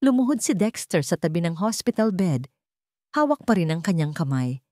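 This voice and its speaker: A Filipino woman narrates a scripted love story in a dramatic style. Her voice sits low and moves with lively, animated melody. The sound is dry and close. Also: normal speed, no room sound.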